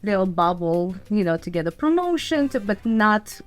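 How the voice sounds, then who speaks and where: A woman's voice: mid-range voice, big pitch swings, moderate pace, soft background music. A woman from Belarus and Ukraine, a podcast guest telling her story casually in an interview. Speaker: podcast guest, fitness entrepreneur.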